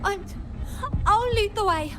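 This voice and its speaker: A woman's voice: very high-pitched, slow. An actress playing a timid, anxious young girl in a video game, hesitant and earnest. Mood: pleading. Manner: hesitant, faltering.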